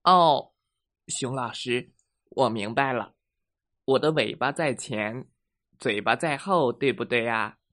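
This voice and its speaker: A man's voice: medium pitch, slow, long pauses, fairly bright tone. A man voicing a muddled young chameleon pupil in a children's story, speaking eagerly in a sing-song way.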